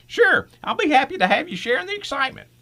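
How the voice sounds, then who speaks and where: A woman's voice: high voice, big pitch swings, quick pace, pushed and bright, exaggerated voice. A woman playing a friendly, confident scientist and inventor in an old-time radio drama, chatty and encouraging. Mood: friendly, proud.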